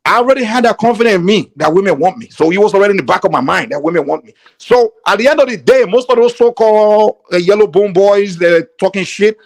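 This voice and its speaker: Fast, high-voiced Nigerian man. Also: lively intonation, no echo. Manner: insistent, keeps talking over interruptions. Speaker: guest on a call-in show. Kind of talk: heated panel debate.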